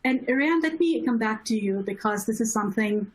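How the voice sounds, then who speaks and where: A woman from Pakistan with a Pakistani accent, moderating an online panel discussion in a reflective, measured way. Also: on the high side, expressive, slightly rough, poor audio. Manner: reflective, measured, asks follow-up questions.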